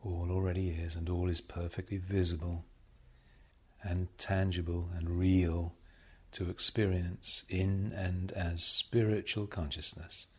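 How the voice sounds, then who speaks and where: A man leading a guided meditation as a spiritual teacher, speaking solemnly and deliberately, with measured pauses and repeated phrases. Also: low voice, level tone, breathy edge.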